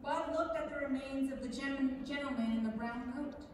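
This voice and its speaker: A woman's voice: high-pitched, moderate pace, a little mellow. A woman narrating a scripted story as a staged reading in a theatre. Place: large theatre stage, indoors. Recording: echoey theatre hall, distant, faint noise.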